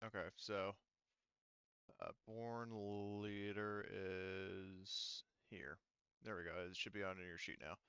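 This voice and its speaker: A man's voice: sits low, fairly even intonation, slow, pushed and bright, smooth, no echo, dull audio. A man talking casually and informally, with some swearing, as game master of a tabletop roleplaying game.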